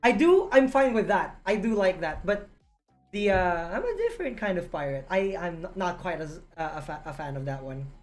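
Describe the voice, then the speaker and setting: A woman hosting a casual review chat, excitable and quick to laugh, often restarting her sentences. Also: lowish voice, faint music behind. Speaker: host reviewing a series.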